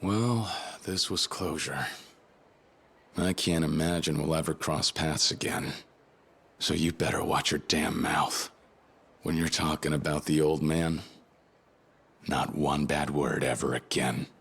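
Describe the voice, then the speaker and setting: An actor playing a gruff, blunt yakuza man who speaks in short threats. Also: very deep voice, steady tone, measured pace, a bit bright, exaggerated voice. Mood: stern.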